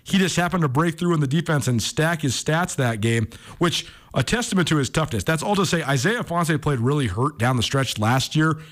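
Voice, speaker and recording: A man's voice: fairly high pitch, lively intonation, brisk, few pauses, fairly bright tone. A man speaking as a sports radio host, giving informal, enthusiastic commentary in a conversational style.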